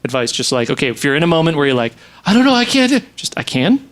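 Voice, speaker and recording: Quick, lively middle-aged man. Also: on the high side. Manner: animated, chatty, eager. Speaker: co-host. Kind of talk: casual studio chat. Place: radio studio. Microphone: studio desk mic.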